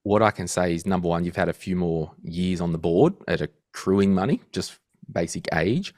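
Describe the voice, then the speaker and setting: Guy in his 30s, very low voice, lively storyteller, dry close sound. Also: normal speed, no echo. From Australia. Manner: animated storyteller, acts out quotes. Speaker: guest financial podcaster. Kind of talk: casual interview chat.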